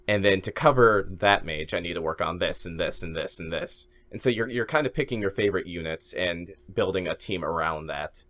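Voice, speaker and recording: A man's voice: deep voice, fairly bright tone. A man co-hosting a casual podcast, an actor who thinks aloud and often repeats words as he restarts a thought.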